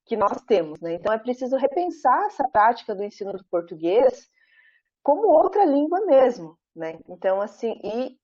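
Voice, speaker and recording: A Brazilian woman professor giving an academic talk over a video call, speaking in a measured, thoughtful way with frequent pauses and tag questions. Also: on the high side, big pitch swings, darkish tone, slightly rough. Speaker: professor presenting her research. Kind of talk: academic talk at an online book launch. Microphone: video call, camera off. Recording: muffled video call audio.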